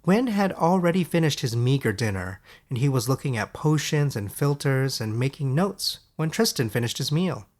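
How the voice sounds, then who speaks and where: A man reading scripted fairy-tale audiobook narration in a measured storytelling manner. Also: mid-range voice, very animated, a little mellow.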